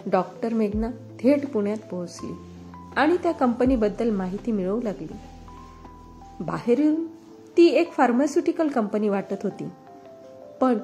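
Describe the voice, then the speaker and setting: A woman storyteller reads a scripted tale. Her voice sits fairly high and swings widely in pitch, with a soft, mellow tone. The delivery is dramatic and expressive, with faint music underneath. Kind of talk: scripted narration of a moral story.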